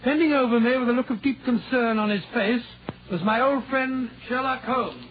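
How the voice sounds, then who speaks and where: On a thin, faintly hissy 1940s radio recording, a bluff English gentleman doctor of middle years. His voice sits high, and he blusters and stammers in kindly befuddlement, often repeating himself. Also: normal speed, narrow, tinny audio. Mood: earnest recounting.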